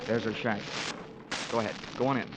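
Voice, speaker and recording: A man's voice: on the low side, fairly even intonation, brisk, natural voice. A man playing a tough, cynical American investigator in a radio detective drama, wisecracking in a clipped, sardonic way. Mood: tough, pressing. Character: wry, tough male insurance investigator, hard-boiled and cynical. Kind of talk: hard-boiled 1950s radio detective narration and acting. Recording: noisy vintage radio recording.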